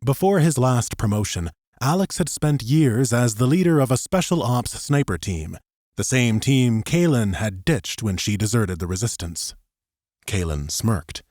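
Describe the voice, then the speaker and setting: A man's voice: mid-range voice, animated, unhurried, a little mellow, dry recording, clean studio sound. A man reading scripted dramatic fiction as an audiobook narrator.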